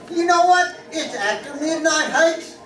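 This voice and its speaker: A man acting a fretful, eager sidekick in a radio western, high-pitched and slow to get the words out. He is American, deferential and given to repeating himself. The stage sounds echoey and far from the microphone. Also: heavily acted. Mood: nervous, excited. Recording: distant echoey stage, music behind.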